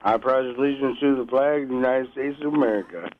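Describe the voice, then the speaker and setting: A man's voice: medium pitch, quick pace. A man chatting casually in a rambling, muddled way, sounding like a phone caller on radio. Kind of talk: casual, unscripted chat. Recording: tinny phone-like band, faint noise.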